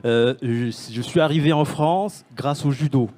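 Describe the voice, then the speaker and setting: Man from Senegal, startup founder, mellow tone, panel talk, halting with fillers. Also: on the high side. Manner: hesitant, many fillers and restarts.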